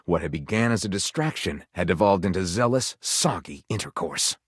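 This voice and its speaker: A man's voice: low voice, lively intonation, normal speed, breathy edge, no room sound, studio quality. A man playing a flamboyant, pompous narrator of pulpy detective romance in a game, delivering it theatrically and tongue-in-cheek. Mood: sultry.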